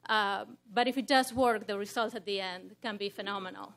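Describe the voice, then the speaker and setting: A woman's voice: medium pitch, brisk, gravelly, fairly bright tone. A woman in her thirties speaking on a conference panel at a podium, giving earnest advice to the audience. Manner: earnest, advisory. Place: conference hall stage at a podium. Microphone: podium microphone.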